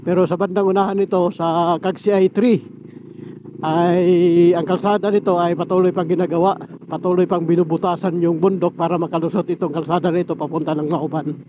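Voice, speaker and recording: A man giving a casual vlog-style gear review in a halting, explanatory way, repeating key words. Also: on the high side, little pitch movement, dull audio. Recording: clearly noisy amateur recording.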